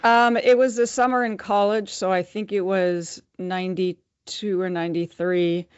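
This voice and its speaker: A woman's voice: medium pitch, little pitch movement, moderate pace, darkish tone, clean tone, no room sound, muffled recording. A woman from the United States, a podcast guest, speaking thoughtfully and restarting her sentences now and then. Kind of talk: podcast interview.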